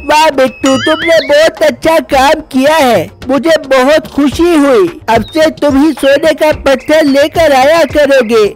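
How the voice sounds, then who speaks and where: Male actor as goldsmith master, very high voice, bossy, reassuring, cartoon tale. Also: unhurried, few pauses. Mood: pleased. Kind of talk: cartoon moral story dialogue.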